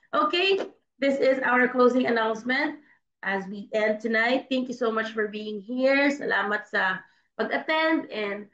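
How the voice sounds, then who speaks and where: A woman with a Filipino accent, hosting an online prayer meeting, praying fervently and repeating her thanks while mixing English and Tagalog. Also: on the high side. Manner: fervent, repetitive, switching between English and Tagalog. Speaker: prayer leader and host. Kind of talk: spoken prayer and closing announcements. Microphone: video call. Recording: dull lo-fi call audio in an echoey room.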